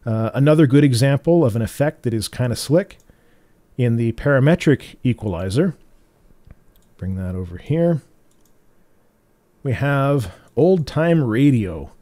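A man with a naturally bassy, mellow voice talks through a software tutorial at an easy pace. He explains in a relaxed way, now and then restarting a phrase. The sound is dry and close, recorded at home. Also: unhurried, pauses often, no echo. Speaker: tutorial host.

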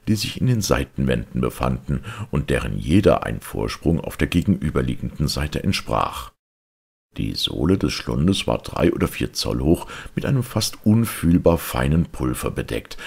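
A man with an extremely low voice narrates literary prose. His tone is husky and full of creak, and he reads in a measured, deliberate way. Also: darkish tone. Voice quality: gravelly, husky. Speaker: audiobook narrator. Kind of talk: literary audiobook reading, scripted narration.

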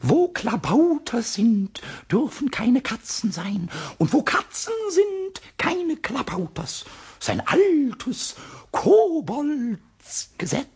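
A man playing a small, cheeky, childlike kobold in a radio play, with a squeaky cackling voice, stammered repetitions. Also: very animated, slow, a little mellow, heavily acted. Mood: defiant, scheming. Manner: stammering repetitions, childish exclamations, sing-song rhymes. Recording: noisy old radio recording.

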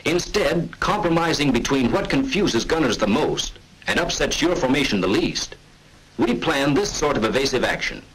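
A man giving scripted, measured and authoritative narration for a 1940s military training film. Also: mid-range voice, animated, a bit bright, slightly rough, rough recording.

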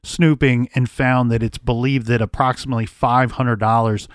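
A man's voice: medium pitch, level tone, moderate pace, no room sound, clean studio sound. A man hosting a true crime podcast, reading old case records aloud and then thinking through theories in casual talk. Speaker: podcast host.

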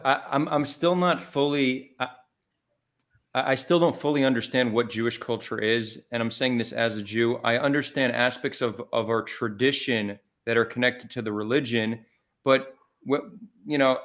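A man in his thirties moderates an online debate in a mid-pitched voice. He talks hesitantly, stopping and restarting, and keeps a conciliatory tone, heard over a webcam call from home. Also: fairly bright tone. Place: home room. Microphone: webcam video call.

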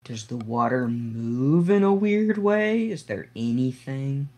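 Husky, very deep-voiced woman. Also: animated, takes it slowly, darkish tone. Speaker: tabletop role-play player. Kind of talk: casual in-character role-play.

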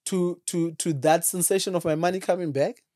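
A man's voice: high-pitched, a little fast, dry recording. A man, co-hosting a podcast in casual, playful chat on a studio mic. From Zimbabwe. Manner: animated, playful, mixes in Shona words. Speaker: podcast co-host. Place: small home studio with brick wall. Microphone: studio mic on boom arm.

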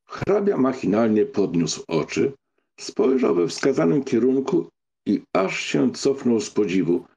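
A man reading literary fiction as an audiobook narrator, in an expressive storytelling style. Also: mid-range voice, measured pace, gravelly, husky.

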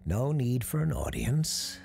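Casting: stern old woman with magic , acted by a storyteller, commanding and curt, gravelly, with sweeping pitch. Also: very animated, slow pace, darkish tone, soft background music, full character voice. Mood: calm, commanding. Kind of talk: audio storybook character.

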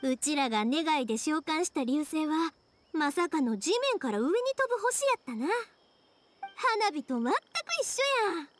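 A high, lively young woman with a Kansai accent, cheerful and heartfelt in an anime game. She talks colloquially and expressively, her pitch swinging freely, with some noise in the recording. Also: moderate pace. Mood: excited, awed. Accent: Kansai Japanese. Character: cheerful, spirited young woman, a fireworks lover. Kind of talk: Japanese anime game voice acting.

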